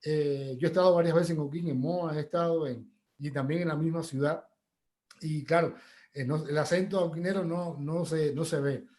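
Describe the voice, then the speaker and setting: A man's voice: on the high side, fairly even intonation, normal speed, a little mellow. A Cuban man hosting an interview and asking questions in a friendly, conversational way. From Cuba.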